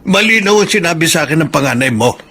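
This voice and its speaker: A man playing a bitter, self-pitying old Filipino father who feels unwanted, with a raspy, strained, aggrieved voice in a radio drama. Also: fairly high pitch, lively intonation, quick pace, sharp tone, exaggerated voice. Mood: bitter, resigned. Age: older. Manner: aggrieved, accusing, sulking.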